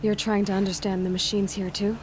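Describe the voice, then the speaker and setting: A woman performs a young hunter heroine in a video game. Her voice is medium in pitch, capable and inquisitive, with a plain questioning manner. Ambient noise is clearly heard behind her. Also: flat delivery, a little fast, close to an everyday voice. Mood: focused, curious. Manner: matter-of-fact, questioning.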